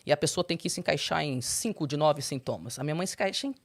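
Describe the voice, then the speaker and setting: Interview guest, young woman, low-set voice, expressive, leaps up when quoting. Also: quick pace. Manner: expressive, swings into high animated quoting. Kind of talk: candid personal storytelling.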